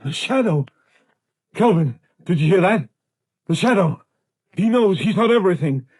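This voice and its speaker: An actor playing a nervous, cowardly criminal accomplice with a hesitant manner in 1930s radio drama style. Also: male voice, sits high, animated, moderate pace, a little mellow, breathy edge. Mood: frightened, pleading. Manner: hesitant, jittery, pleading. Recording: vintage 1930s radio broadcast.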